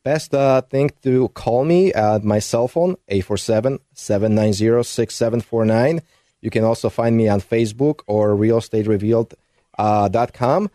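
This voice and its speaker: A man's voice: mid-range voice, moderate pace, warm tone, dry recording, clean studio sound. A man speaking as a realtor guest on a radio show, conversational and full of fillers.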